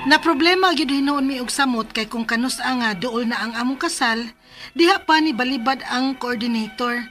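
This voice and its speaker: High-pitched distressed young Filipina bride-to-be, played by an actress. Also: female voice, normal speed, over music, close to an everyday voice. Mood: troubled narration. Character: distressed young bride-to-be whose wedding falls apart. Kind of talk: Filipino radio drama acting.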